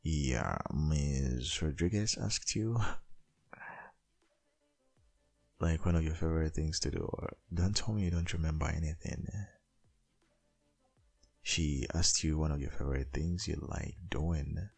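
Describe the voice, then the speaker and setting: A man playing a tender, possessive dominant boyfriend in an intimate ASMR roleplay, murmuring in a gravelly, breathy, half-whispered voice. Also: extremely low pitch, a little mellow, full character voice. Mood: fond, nostalgic. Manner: slow, murmuring, lingering.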